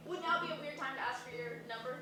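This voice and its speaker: A woman's voice: sits high, quick pace, lightly acted. A woman in a staged reading, playing a frantic, stubborn granddaughter who rambles and hesitates. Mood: earnest scheming. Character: frantic, stubborn granddaughter hiding things from her mother. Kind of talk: staged reading of a dramatic comedy. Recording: echoey room from far off, noisy.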